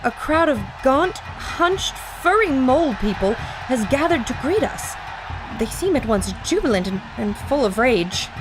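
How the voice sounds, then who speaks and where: A woman's voice: high voice, sing-song, unhurried, music in the background, rough recording. A woman playing an earnest adventuring reporter who narrates into a recorder in an audio drama. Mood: awed, reporting.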